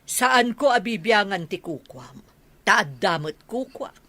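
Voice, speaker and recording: Woman playing an animated, indignant older Ilocano mother. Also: mid-range voice, slow, no echo, heavily acted. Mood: scolding. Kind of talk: Ilocano comedy radio drama acting.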